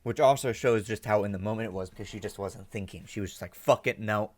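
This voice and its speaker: A man's voice: fairly low pitch, quick pace, dry recording. A man giving casual reaction commentary as host, thinking aloud, swearing now and then and checking in with "right?". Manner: ends statements with "right?" as a check.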